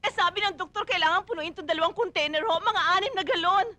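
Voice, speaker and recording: A woman's voice: extremely high pitch, quick pace, pushed and bright. An actress playing a desperate rich wife begging for blood for her husband in surgery. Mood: pleading. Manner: pleading, urgent.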